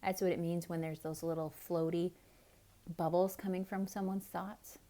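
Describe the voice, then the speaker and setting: A woman reading a picture book aloud to children in an expressive, theatrical way. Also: fairly low pitch, normal speed. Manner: expressive, dramatic, explaining things to children. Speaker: children's storyteller.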